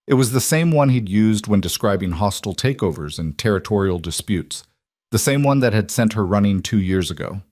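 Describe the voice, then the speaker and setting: A man narrates dramatic romance fiction in a low voice. The recording is dry, with very little room sound. He reads from a script as a storybook narrator. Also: animated, a little fast, darkish tone, no room sound.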